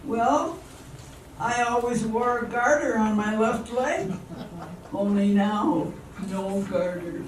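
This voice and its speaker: Woman acting a slow, naive young dancer, echoey hall. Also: fairly high pitch, lively intonation. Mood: bewildered. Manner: hesitant, wide-eyed. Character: naive, eager, self-doubting small-town young woman dancer. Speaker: actress in an amateur radio drama. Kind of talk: theatrical amateur radio drama acting. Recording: very echoey hall, distant mic, background noise.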